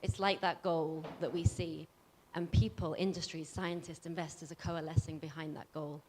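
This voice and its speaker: A woman speaking earnestly to an event, deliberate, in short emphatic phrases. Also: low voice, level tone, a little mellow, slight background noise. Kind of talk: earnest public speech.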